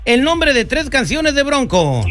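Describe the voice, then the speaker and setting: A man with a very high, bright voice runs a radio call-in quiz, teasing the callers with quick jokes. A faint music bed plays beneath his voice. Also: big pitch swings. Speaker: radio show host. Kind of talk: lively radio quiz hosting.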